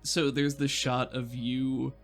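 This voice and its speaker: A man with a gravelly, mid-pitched voice improvises narration as a game master. He describes scenes conversationally, working out the details as he goes, over quiet background music. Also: level tone, takes it slowly. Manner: descriptive, conversational, thinking aloud.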